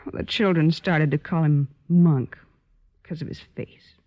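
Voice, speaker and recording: An actress playing an anxious sister who pleads hesitantly and earnestly in an old-time radio drama. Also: female voice, low-pitched, steady tone, measured pace, a little mellow. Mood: sorrowful. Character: anxious, pleading older sister of wayward boy. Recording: dull, lo-fi old radio recording.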